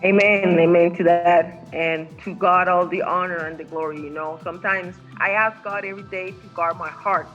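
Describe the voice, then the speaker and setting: A woman's voice: lowish voice, fairly even intonation, normal speed, hardly any pauses, a bit bright, highs cut off, faint music behind. An earnest woman whose first language is Spanish, a life coach talking about her faith in an interview, with many fillers and restarts. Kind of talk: faith-based interview conversation. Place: home.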